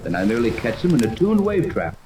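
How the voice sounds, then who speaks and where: An actor playing a confident, commanding yacht captain and earnest inventor in a 1930s radio serial. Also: male voice, medium pitch, huge pitch swings, fast. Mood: proud, explaining. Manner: commanding, explanatory. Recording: noisy, echoey 1930s radio recording.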